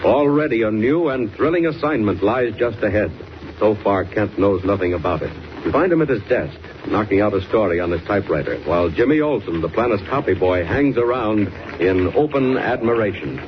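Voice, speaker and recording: A man announces a forties radio serial in a declamatory, dramatic style. His lowish voice rises and falls boldly over a noisy old recording. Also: animated, a little fast, muffled recording. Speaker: announcer and narrator. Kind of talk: dramatic 1940s radio announcing, scripted narration.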